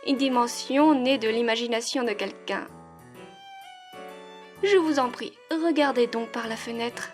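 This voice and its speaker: Casting: actress playing effusive aristocratic lady of a steampunk manor, high refined voice, faint music underneath. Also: close to an everyday voice. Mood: gracious, welcoming. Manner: refined, polite, airy, drawn-out. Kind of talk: French audio roleplay acting.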